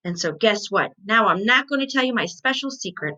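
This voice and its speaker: A woman's voice: medium pitch, expressive, a little fast, bright, forceful tone, muffled recording. A woman voicing a chatty, indignant kindergarten girl from a storybook, with emphatic childish phrasing. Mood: indignant, excited.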